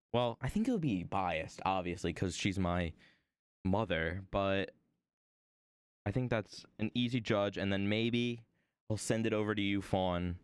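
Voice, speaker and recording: A man streaming from home while he draws, chatting about his desk microphone in a rambling, self-deprecating way, his voice a little hoarse. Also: dry recording, studio quality. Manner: rambling, self-deprecating, lively swings in pitch. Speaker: art streamer. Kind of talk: casual stream chat.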